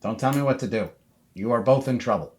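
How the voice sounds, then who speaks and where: A man's voice: medium pitch, a little fast, a little mellow, natural voice. Man playing an exasperated, sarcastic suburban dad. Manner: exasperated, clipped scolding.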